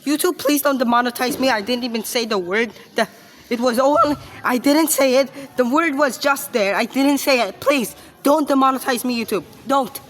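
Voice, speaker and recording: A woman's voice: sits high, normal speed, background noise. A woman giving casual reaction commentary, rambling and often repeating herself. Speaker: reaction video host.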